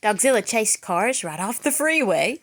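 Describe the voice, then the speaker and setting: A woman's voice: on the high side, big pitch swings, normal speed, a little hiss or hum. A woman reading a children's picture book aloud in a dramatic storytelling style with theatrical emphasis. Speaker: children's read-aloud reader.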